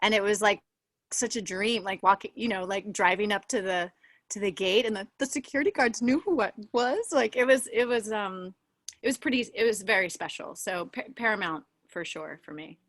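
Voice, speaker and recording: A woman's voice: mid-range voice, normal speed, no echo, studio quality. A woman chatting casually as a panelist, in a gushing manner peppered with filler words. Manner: gushing, animated phrasing with frequent "like". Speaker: actress on a cast reunion panel. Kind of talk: casual chat.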